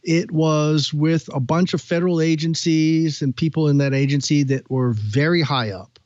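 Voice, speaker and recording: A man's voice: a little high, fairly even intonation, moderate pace, a little mellow, no echo. Man telling a story and asking questions, lo-fi. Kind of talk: conversational questioning. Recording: dry, dull lo-fi sound.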